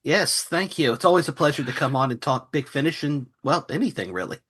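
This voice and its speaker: A man's voice: on the high side, expressive, fairly quick, a bit bright, slightly rough. A man speaking casually as a guest reviewer on a podcast. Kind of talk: casual chat.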